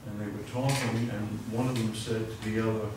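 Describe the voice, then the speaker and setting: A man's voice: lowish voice, flat delivery, brisk, background noise. An older man teaching a university physics class, telling rambling anecdotes and working equations at the board. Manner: rambling anecdotal storytelling, self-interrupting. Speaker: physics professor. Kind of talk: university lecture. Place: large lecture room with chalkboards. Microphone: far room mic. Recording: echoey lecture hall from far off.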